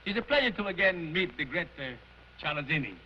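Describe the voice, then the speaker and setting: Animated gangster boss played by a man. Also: on the high side, fast, a little hiss or hum, close to an everyday voice. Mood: placating. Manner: wisecracking, garrulous, mangling grand phrases. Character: blustery, smooth-talking Italian-American gangster boss. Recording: dull vintage film soundtrack.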